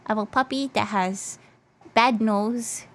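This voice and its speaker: A woman's voice: mid-range voice, steady tone, takes it slowly, fairly bright tone. A woman livestreamer chatting casually in a playful, hesitant, repetitive way, with a stuffed nose and a voice that is slightly giving out. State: slightly losing her voice. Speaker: VTuber livestreamer. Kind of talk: casual livestream chat in English mixed with Japanese.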